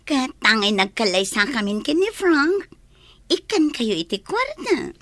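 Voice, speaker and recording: A woman playing an earnest, generous young Filipino bride with an Ilocano accent and a husky voice in a radio drama. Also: high voice, big pitch swings, a little slow, fairly bright tone, no room sound, exaggerated voice. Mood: kindly. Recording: music playing behind the voice.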